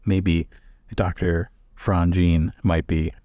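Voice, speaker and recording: A man's voice: deep voice, flat delivery, slow, mellow, no room sound. A man playing a tabletop roleplaying game, switching between casual game chat and in-character talk.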